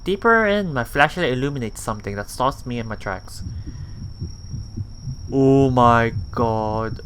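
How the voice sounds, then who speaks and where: A man's voice: medium pitch, animated, a little slow, a bit bright, rough recording. A man reading a visual novel aloud as a let's play host, stumbling and rereading lines as he goes. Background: faint music.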